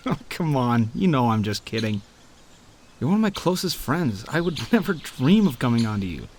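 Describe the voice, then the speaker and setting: A man playing a teasing, warm-hearted young friend in an audio roleplay, conversational and given to playful grumbling. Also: medium pitch, sing-song, normal speed. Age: young adult. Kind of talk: audio roleplay acting, addressing the listener.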